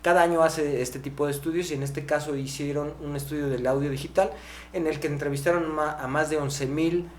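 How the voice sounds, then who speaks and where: Mexican male podcast host, slightly high voice, halting conversational explainer, frequent question tags, roomy echo. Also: fairly even intonation. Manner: halting, often tags phrases with "¿no?". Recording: echoey room, clear background noise, hum.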